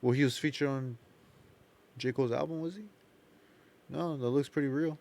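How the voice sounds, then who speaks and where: A man's voice: medium pitch, steady tone, normal speed, creaky, a little mellow. A man co-hosting a podcast in casual, slangy chat, repeating his phrases and swearing now and then. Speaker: podcast host.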